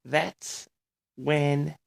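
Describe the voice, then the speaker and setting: A man in his sixties from Australia hosting a personal audio log, reminiscing in a rambling, halting and boastful way straight to his listeners. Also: a little high, flat delivery, gravelly. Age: 60s. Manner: boastful, halting, often addresses the audience.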